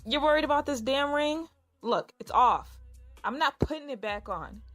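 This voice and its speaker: A woman acting a sharp-tongued, jealous but caring lover in naturalistic audio drama dialogue. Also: high voice, animated, moderate pace. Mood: angry, defensive. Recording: music behind the voice.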